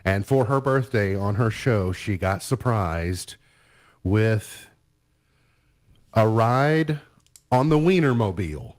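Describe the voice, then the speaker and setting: A man with a fairly low voice hosts a morning radio show. He talks through entertainment news in a relaxed, chatty way. He sometimes trails off before finishing a thought. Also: takes it slowly, pauses often. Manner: chatty, easygoing, trails off mid-sentence. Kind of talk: casual entertainment news chat.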